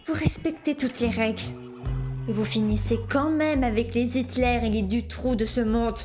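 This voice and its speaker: Woman voicing a bitter, high-voiced damned sinner. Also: steady tone, normal speed, a little mellow, natural voice. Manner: resentful, foul-mouthed. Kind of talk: animated comedy fan dub. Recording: music behind the voice.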